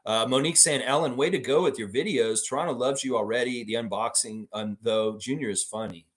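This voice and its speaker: Casting note: male live-stream sports host, chatty and animated, jumps high and loud when joking. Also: a little fast. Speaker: sports podcast host reading live chat. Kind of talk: casual live-stream chat.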